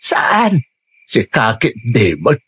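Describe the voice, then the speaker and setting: A talkative, bumbling Ilocano husband in a comic radio drama scene. He drawls very slowly in a husky voice with big swings of pitch, forever on the defensive. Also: huge pitch swings, a bit bright, heavily acted. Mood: flustered defensive.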